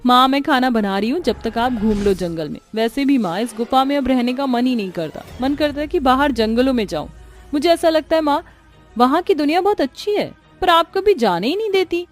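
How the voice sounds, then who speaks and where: Woman playing a high-voiced, coaxing young daughter. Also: lively intonation, fairly quick, faint music behind. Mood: affectionate, coaxing. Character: caring, curious young daughter of a witch, aged twenty. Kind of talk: Hindi cartoon story voice acting.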